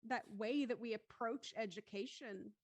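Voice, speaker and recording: A woman's voice: fairly high pitch, normal speed, dry recording. A woman talking casually and reflectively in a group discussion.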